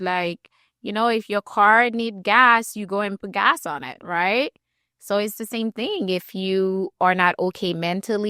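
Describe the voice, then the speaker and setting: Smooth-voiced woman host from Haiti. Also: medium pitch, no echo, clean studio sound. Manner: animated, acts out quoted voices, warm and conversational. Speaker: podcast host. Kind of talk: podcast interview conversation.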